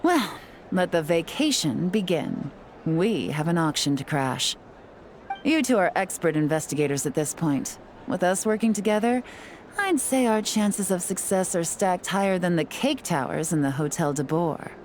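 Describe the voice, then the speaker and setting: A woman voicing a cool, low-voiced spy. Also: a little hiss or hum, lightly acted. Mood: composed. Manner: calm, measured, self-assured. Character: cool, composed female spy and tea house owner. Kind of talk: video game dialogue. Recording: game audio with faint music.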